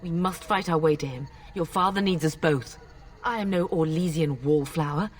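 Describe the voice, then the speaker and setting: A woman's voice: deep voice, normal speed, distant mic. An actress playing a resolute middle-aged noblewoman and mother in a fantasy video game, speaking formally and dramatically.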